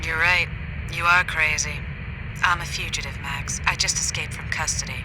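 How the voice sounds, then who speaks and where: A woman acting a tough, low-voiced noir fugitive. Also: steady tone, normal speed, background noise, close to an everyday voice. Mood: scornful. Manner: curt, cool, sardonic. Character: tough, sardonic female fugitive partner of a detective. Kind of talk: noir game dialogue. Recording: music under the voice.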